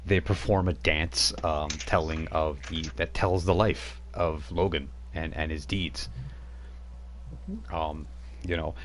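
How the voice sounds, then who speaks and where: A man's voice: extremely low pitch, steady tone, takes it slowly, a little hiss or hum. A man narrating as game master in a live-streamed tabletop game, rambling with many fillers. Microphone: home microphone on a live stream.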